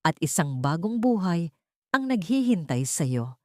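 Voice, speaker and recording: A woman voicing a wealthy young man who speaks calmly and firmly to protect his sister. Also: fairly low pitch, unhurried, no echo, clean studio sound. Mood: soothing. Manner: calm, commanding, gentle toward his sister.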